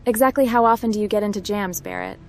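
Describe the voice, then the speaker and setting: A woman playing a young space pilot recruit in a video game, speaking in a brisk, matter-of-fact way. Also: medium pitch, huge pitch swings, a little hiss or hum. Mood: friendly. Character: young female space pilot recruit, hacker, guarded and polite. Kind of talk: scripted video game roleplay dialogue.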